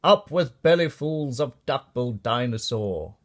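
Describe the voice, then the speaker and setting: Man acting young tyrannosaurs, storybook bullies, warlike, raised pitch, loud shouted chanting. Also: big pitch swings, unhurried. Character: fierce, bullying pack of warlike young tyrannosaurs.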